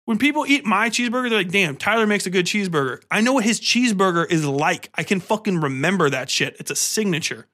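A man's voice: sits high, expressive, fast talker, few pauses, pushed and bright. A man with an American accent hosting a podcast in a casual comedic rant, slangy, swearing freely and emphatic with exasperation. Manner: slangy, conversational. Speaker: podcast host.

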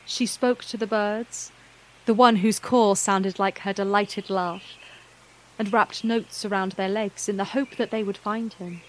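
A woman narrator reading a scripted fantasy tale in a measured, literary, wryly understated manner. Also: medium pitch, fairly even intonation, slight background noise, poor audio.

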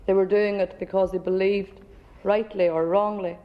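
A woman explains something slowly and deliberately to a workshop audience. Her pitch stays flat. The sound is dull and rings with long hall reverb. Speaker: speaker at a workshop. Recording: dull, echoey hall recording.

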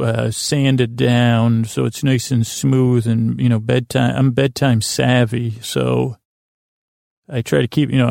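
Creaky-voiced man rambling, dry and close. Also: darkish tone, no echo. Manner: hesitant, repeating and trailing off mid-sentence; soothing, low-pressure reassurance. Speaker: host of a bedtime podcast for sleep. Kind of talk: rambling, meandering bedtime monologue.

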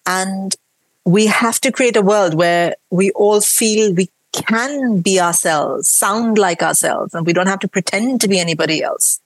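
A woman's voice: medium pitch, unhurried, no room sound. A woman from India with a mixed Indian and British accent, speaking earnestly and reflectively as a guest in a podcast conversation. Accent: Indian, from Mumbai, Bangalore and Kerala, mixed with British.

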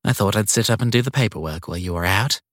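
A man voicing a caring, insistent wife with a gently chiding, concerned manner in an audiobook. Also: lowish voice, fast talker, dry recording, clean studio sound. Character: caring, insistent wife on a late-night call. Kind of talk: audiobook character dialogue.

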